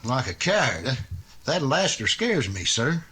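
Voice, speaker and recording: A man's voice: medium pitch, big pitch swings, normal speed, pushed and bright, breathy edge, full character voice. Timid, hesitant western deputy played by a man. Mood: scared, earnest. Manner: hesitant, stammering. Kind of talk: old-time radio western acting.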